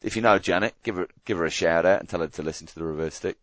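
Man, podcast host, jokey and chatty, lowish voice, dry slightly dull sound. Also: very fast, a bit bright, no echo. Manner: chatty, jokey, loses his thread. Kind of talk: casual podcast chat.

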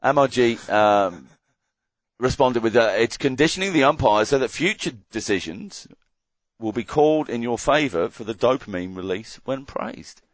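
An Australian man co-hosting a casual podcast chat, ranting in animated mock disgust. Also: mid-range voice, normal speed, dry recording, dull audio. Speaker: podcast co-host.

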